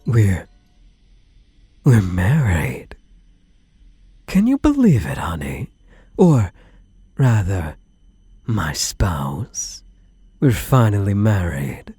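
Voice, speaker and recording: A man playing a possessive, obsessively doting kidnapper in an intimate ASMR roleplay, hushed and menacingly tender, often slipping into whispers. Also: fairly low pitch, wildly swooping pitch, extremely slow, pauses often, breathy edge, dry recording, heavily acted. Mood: gleefully possessive. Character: possessive male yandere kidnapper, obsessively doting on captive spouse.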